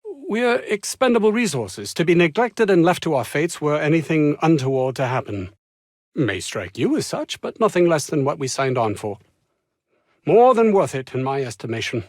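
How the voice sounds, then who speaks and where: A man's voice: a little high, sing-song, moderate pace, dry recording, studio quality. An actor playing a pompous, anxious, self-important male research scientist in a video game, speaking in wordy, formal phrases with flustered hesitations. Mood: aggrieved, self-pitying. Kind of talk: video game character dialogue.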